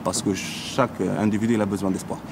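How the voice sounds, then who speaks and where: A man in his thirties from Morocco, an earnest team leader and interpreter speaking in a testimonial interview, halting with restarts. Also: lowish voice, steady tone, noisy background. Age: 30s.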